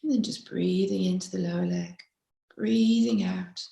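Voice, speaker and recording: A woman mindfulness teacher leading a slow guided meditation in a gentle, unhurried, instructive way. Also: on the low side, fairly even intonation, creaky. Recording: roomy, echoey sound.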